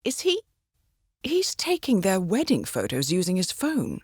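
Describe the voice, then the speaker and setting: An actress playing a cool, composed intelligence handler in a video game, briefing an assassin with articulate dry wit. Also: female voice, medium pitch, huge pitch swings, unhurried, slightly rough, dry recording, heavily acted. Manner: composed, dryly witty, articulate. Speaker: mission handler.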